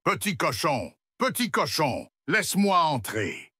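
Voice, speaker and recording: A big bad wolf from a children's cartoon, acted by a man, hungry and goofy in his menace. He drags his words theatrically, with wide swoops of pitch and a forceful edge, over faint noise. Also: huge pitch swings, heavily acted. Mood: coaxing menace. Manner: slow, drawn-out, theatrical menace.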